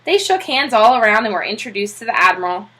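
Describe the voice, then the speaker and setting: Bright, animated woman reading a storybook. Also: a little high, fast talker, low-quality recording. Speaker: teacher reading aloud. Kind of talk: expressive children's storybook narration.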